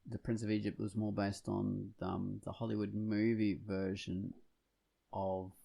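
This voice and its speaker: Male podcast co-host, lowish pitch with plenty of vocal fry, conversational, musing in loose phrases. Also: level tone, measured pace, dark tone. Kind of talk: casual discussion.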